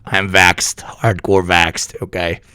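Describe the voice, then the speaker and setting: A sick, hoarse man streaming live news commentary, talking bluntly and with plenty of swearing. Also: low voice, little pitch movement, takes it slowly, pushed and bright, no room sound, clean studio sound. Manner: profane, blunt, rambling. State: hoarse from illness.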